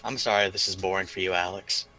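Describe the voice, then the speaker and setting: A man's voice: low-pitched, fairly even intonation, fast talker, sharp tone, soft background music, rough recording. A man playing a tabletop roleplaying game, chatting casually in short remarks as a player.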